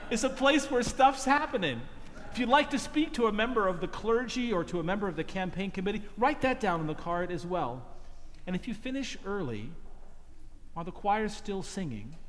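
A man's voice: high-pitched, lively intonation, normal speed. A middle-aged man preaching from a cathedral pulpit in an earnest, emphatic way. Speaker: priest. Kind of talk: sermon. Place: large cathedral, speaking from a pulpit. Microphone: pulpit microphone. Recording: long reverb of a large cathedral.

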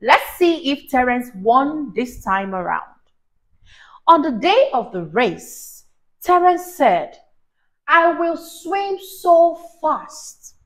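A young woman reading a story aloud to a child in an expressive, encouraging, teacherly way, often prompting with questions. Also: sits high, slow pace, poor audio. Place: small indoor room on a sofa. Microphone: lapel mic.

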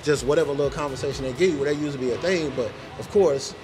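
A man's voice: on the high side, quick pace, noisy background. A man hosting a vlog, chatting casually in a laid-back, joking, slangy way. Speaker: vlog host. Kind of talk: casual chat.

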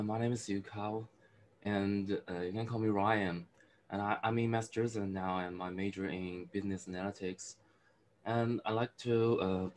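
A man introducing himself as a master's student on a video call, quiet and hesitant. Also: lowish voice, slight background noise. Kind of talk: self-introduction.

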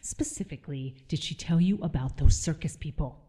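A woman's voice: sits low, fairly quick, dark tone, slightly rough. A woman playing a curt, demanding, sour small-town woman in an audio drama. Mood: suspicious, pressing.

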